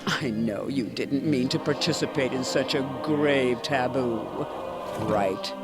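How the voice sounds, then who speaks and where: Slow, menacing eldritch god, acted by a man. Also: medium pitch, lightly acted. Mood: coolly persuasive. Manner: menacing, formal, deliberate, condescending. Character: ominous eldritch god, stern condescending elder uncle. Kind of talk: theatrical video game villain monologue. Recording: music behind the voice.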